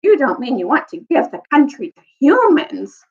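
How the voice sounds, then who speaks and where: Woman reading as a blunt, incredulous dwarf, very animated. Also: high voice, moderate pace, lo-fi sound, voice pushed up. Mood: incredulous, skeptical. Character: blunt, skeptical male dwarf, exclaims colorfully.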